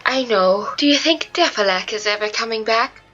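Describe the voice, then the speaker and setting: A woman voicing a worried but plucky young companion in an animated fan dub, earnest in tone. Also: fairly high pitch, normal speed, soft background music. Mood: worried, ready. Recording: dull lo-fi microphone.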